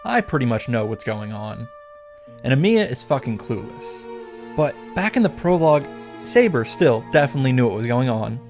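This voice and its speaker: A man's voice: medium pitch, sing-song, normal speed, darkish tone. A man delivering a scripted comedic recap commentary in a wry, profane, mock-grandiose manner. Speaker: visual novel reactor and narrator. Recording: background music under the voice.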